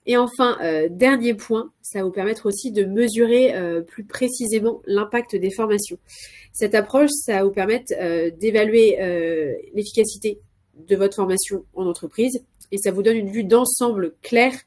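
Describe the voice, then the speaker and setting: Woman with a cold, consultant, hesitant live webinar presenter, medium pitch. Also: moderate pace, darkish tone. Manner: hesitant, many filler sounds and restarts. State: has a cold.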